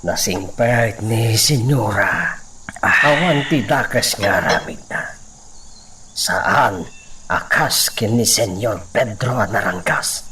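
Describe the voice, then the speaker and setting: Grave, slow-talking elderly Ilocano grandfather. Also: fairly low pitch, sharp tone, slight background noise. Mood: curious, musing. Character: grave elderly grandfather gossiping about hacienda crimes. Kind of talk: Ilocano radio drama acting.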